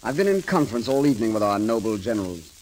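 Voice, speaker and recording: An actor playing a bold, eloquent rogue lord in a declamatory 1930s radio drama style. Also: male voice, lowish voice, sing-song, fast talker, darkish tone, slight background noise, poor audio. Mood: wry, quiet. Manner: declamatory, theatrical. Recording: old 1930s radio broadcast recording.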